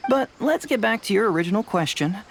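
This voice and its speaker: Woman playing a composed investigator with lively intonation. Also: medium pitch, a little hiss or hum, lightly acted. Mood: curious, businesslike. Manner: measured, explanatory, self-assured. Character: composed, analytical investigator in a fantasy game. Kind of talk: animated game dialogue acting.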